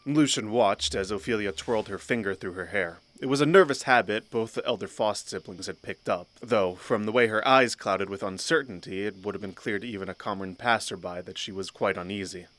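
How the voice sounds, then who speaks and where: A man's voice: lowish voice, a little fast, hardly any pauses, fairly bright tone. A man narrating a fantasy audio drama in a scripted storybook style.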